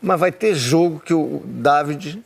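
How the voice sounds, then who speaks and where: A man's voice: fairly high pitch, measured pace, vocal fry, breathy edge. A man, a football pundit arguing his point emphatically and repeating himself. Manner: emphatic, repeats himself, says "cara" often. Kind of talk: studio football debate.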